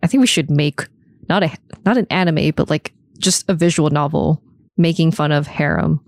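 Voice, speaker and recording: Female podcast co-host, low voice, dry recording, informal and opinionated anime chat. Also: normal speed, creaky, dry recording. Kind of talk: casual anime discussion.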